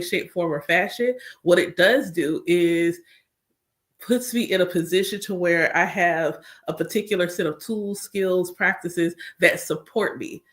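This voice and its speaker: Chatty congested woman talking to viewers. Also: fairly low pitch. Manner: chatty, talks straight to her viewers. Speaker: spiritual coach. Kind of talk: casual chat.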